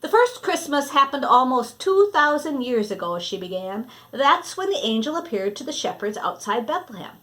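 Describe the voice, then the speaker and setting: A woman reading a children's story aloud expressively, with deliberate phrasing that sometimes drops to a hush. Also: high voice, big pitch swings. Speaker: storybook reader.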